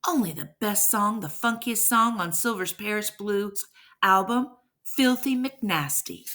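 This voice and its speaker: A woman's voice: medium pitch, unhurried, sharp tone. A woman voicing an enthusiastic, boastful dad who talks in playful old-school slang, in a storybook reading. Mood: playful, emphatic. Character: jazz-loving dad, hip, boastful, enthusiastic older-generation man.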